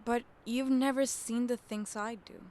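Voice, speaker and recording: A woman's voice: a little high, steady tone, darkish tone, natural voice. A woman acting in an audio drama as a timid, troubled young wife with a hesitant way of speaking. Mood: upset. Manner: hesitant, halting. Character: John's distressed, timid wife, also as a teenage girl.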